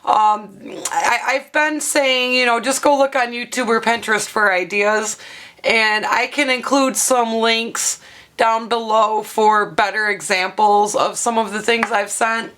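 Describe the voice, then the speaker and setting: A woman with a hoarse, half-lost voice, chatting through a craft tutorial at her table and showing items with plenty of ums and uhs. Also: a little high, fairly even intonation, a little slow, a bit bright. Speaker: craft vlogger. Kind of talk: casual show-and-tell craft tutorial.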